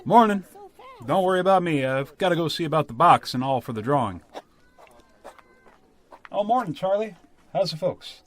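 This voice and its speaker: An actor playing an affable, busy village storekeeper in a radio drama, folksy and neighborly. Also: male voice, fairly high pitch, lively intonation, normal speed, pauses often, close to an everyday voice.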